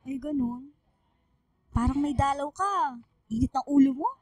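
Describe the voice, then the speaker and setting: A woman's voice: high-pitched, measured pace, a little mellow, no room sound, clean studio sound. A woman playing a chatty, teasing teenage schoolgirl friend in a Filipino radio drama.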